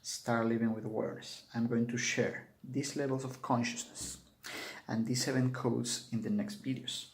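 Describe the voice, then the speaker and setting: A man from Peru with a Peruvian Spanish accent, a native Spanish speaker, giving an earnest spiritual talk to his viewers in halting English. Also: mid-range voice, little pitch movement. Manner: earnest and urging, with halting non-native phrasing. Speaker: spiritual teacher. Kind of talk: earnest spoken address to camera.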